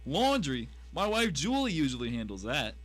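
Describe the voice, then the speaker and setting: A man's voice: fairly high pitch, wildly swooping pitch, moderate pace, heavy background noise. A man playing brash, folksy American tough guys in a noir radio drama, talking theatrically in old-timey slang. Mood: indignant. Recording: music bed behind voice.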